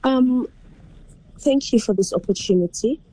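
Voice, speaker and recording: A woman's voice: fairly high pitch, unhurried, warm tone, no echo, rough recording. A young woman from Ghana, raised in South Africa, speaking earnestly and hesitantly as an interview guest on a remote line. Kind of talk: phone interview.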